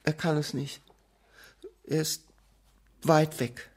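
A man's voice: on the high side, level tone, slow, a little mellow. A man playing a tiny, odd, secretive and fussy male creature in a children's radio play, with quirky clipped speech. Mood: evasive.

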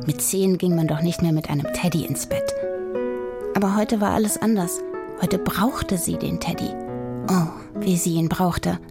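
A woman reading a children's story as an expressive audiobook narrator. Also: lowish voice, moderate pace, loud music behind the voice.

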